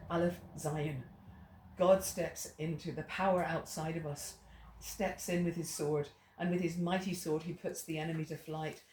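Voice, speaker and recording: A woman's voice: lowish voice, darkish tone. Woman from Ireland teaching in an echoey room. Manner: digressive, storytelling, many restarts. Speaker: Bible study teacher. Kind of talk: teaching to a small group. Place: indoor room with a small group. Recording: roomy echo, faint noise.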